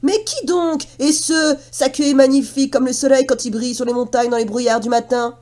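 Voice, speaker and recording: A woman's voice: sits high, a little fast, a little mellow, lightly acted. A woman voicing a blustering, hard-of-hearing male farmer in a children's story. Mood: puzzled.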